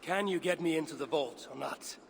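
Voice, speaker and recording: An actor playing a haughty, menacing warrior god who commands and boasts in a video game cutscene. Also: male voice, fairly high pitch, fairly quick, vocal fry, slight background noise. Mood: impatient demanding.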